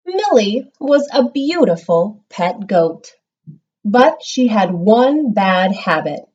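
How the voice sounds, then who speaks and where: A woman teacher giving a read-aloud lesson to young children, explaining simply and reading with expressive emphasis. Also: mid-range voice, slow pace, dull audio. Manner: instructional, simple explanations with check-ins. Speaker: primary school reading teacher.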